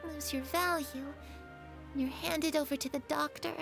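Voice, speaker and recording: A lonely young girl from a game, speaking in a soft, confiding voice that sits very high. She sounds wistful and dreamy, and faint music plays underneath. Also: fairly even intonation, measured pace, gravelly. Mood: bitter. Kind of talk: game cutscene voice acting.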